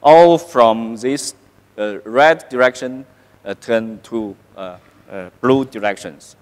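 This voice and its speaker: A middle-aged man giving a keynote science lecture at a conference, speaking haltingly with many "uh" fillers and restarts. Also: medium pitch. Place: large conference hall stage. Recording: long hall reverb.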